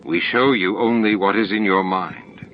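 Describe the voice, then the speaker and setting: A man voicing cryptic alien entities in an audiobook, solemn and insistent, with deliberate, stilted phrasing and pauses between words. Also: low-pitched, lo-fi sound, slight background noise, lightly acted. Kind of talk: audiobook character dialogue.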